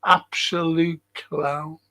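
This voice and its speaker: A man's voice: fairly high pitch, level tone, extremely slow, bright, forceful tone. A man with a Liverpool accent hosting a casual livestream, rambling and repeating phrases for emphasis. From England. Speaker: livestream host. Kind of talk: casual livestream commentary reading viewer comments.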